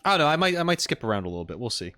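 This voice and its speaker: A man's voice: a little high, sing-song, rapid-fire, sharp tone, clean tone, no room sound. An American man chatting casually on a reaction stream, rambling and joking as he goes. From United States.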